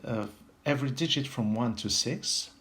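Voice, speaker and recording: A man from France with a French accent, giving an unscripted puzzle tutorial in a halting, step-by-step way, thinking aloud. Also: medium pitch, fairly bright tone, slight background noise. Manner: halting, pauses mid-sentence, explains step by step. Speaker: puzzle video host.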